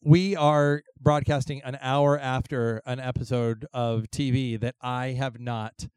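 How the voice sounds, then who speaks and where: A young man with a voice gone hoarse, co-hosting a live panel show and rambling playfully with lots of fillers. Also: mid-range voice, fairly even intonation, unhurried, a little mellow, no echo. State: hoarse from losing his voice. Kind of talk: casual comedic banter with a live audience.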